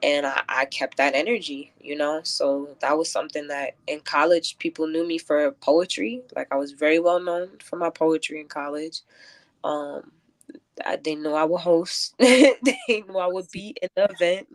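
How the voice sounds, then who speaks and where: A woman's voice: deep voice, moderate pace. A woman talking earnestly and reflectively as a guest in a casual conversational interview. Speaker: interview guest, event curator and poet.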